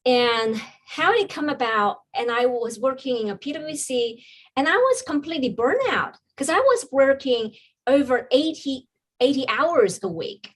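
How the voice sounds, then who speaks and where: A woman's voice: high voice, lively intonation, measured pace. Woman telling her story. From China. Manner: earnest, reflective storytelling with frequent ums. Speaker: podcast guest, business coach. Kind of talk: personal story in a podcast interview.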